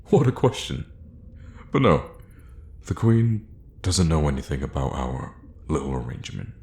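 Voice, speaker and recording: A man playing a scheming, condescending royal guard loyal to his queen, in an intimate, theatrical fantasy ASMR roleplay with measured, pointed pauses. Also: a bass voice, very animated, a little mellow, reverberant, heavily acted. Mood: scornful, condescending.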